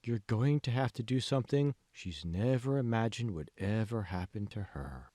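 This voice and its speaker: A man playing a calm, manipulative male mentor in an audiobook, speaking slowly and deliberately with hushed, condescending questions. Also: fairly low pitch, no echo, studio quality. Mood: teasing, controlled. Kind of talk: dramatic audiobook dialogue.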